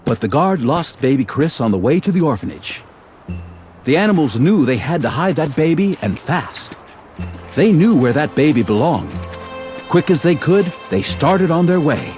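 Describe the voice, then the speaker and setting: An actor playing a friendly, folksy storybook character in an animated game. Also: male voice, a little high, moderate pace, faint music behind. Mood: storytelling. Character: friendly, folksy male storybook narrator and young hero.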